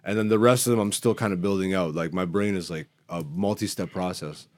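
A man's voice: low voice, brisk. Emphatic, profane comedian man chatting on a podcast. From born in Greece, Ethiopian by blood. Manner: slangy, profane, emphatic storytelling. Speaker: stand-up comedian and podcast host. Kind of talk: casual, animated podcast chat.

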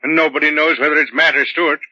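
An actor playing a seasoned, wry arson detective with a matter-of-fact delivery in a 1940s radio drama. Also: male voice, on the high side, fairly even intonation, quick pace, sharp tone, no room sound. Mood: wry, weary. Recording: muffled, dry vintage radio recording.

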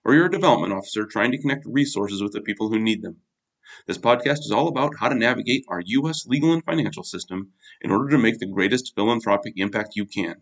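A man's voice: fairly low pitch, lively intonation. A man hosting a podcast, reading a scripted intro and then chatting with his guest. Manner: brisk scripted intro, then friendly interjections. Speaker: podcast host. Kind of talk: podcast interview.

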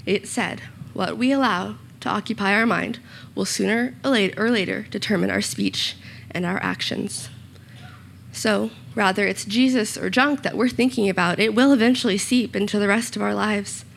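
A young woman giving a short church sermon with a slightly raspy voice, earnest and personal, with small self-conscious asides. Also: medium pitch, moderate pace, a little hiss or hum. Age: young adult. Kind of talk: sermon with scripture reading and prayer. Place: church room.

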